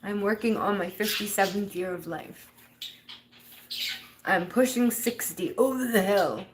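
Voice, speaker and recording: A woman's voice: mid-range voice, a little slow, noisy background. A woman in her fifties chatting casually on a livestream, rambling and laughing often. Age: 50s. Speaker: livestream host.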